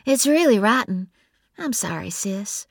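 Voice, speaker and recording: A woman's voice: fairly high pitch, a little fast, dry recording, studio quality, pitched above the performer's own voice, natural voice. A woman voicing a breezy, blunt young woman who teases her sister. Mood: soft. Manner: blunt, lively and teasing.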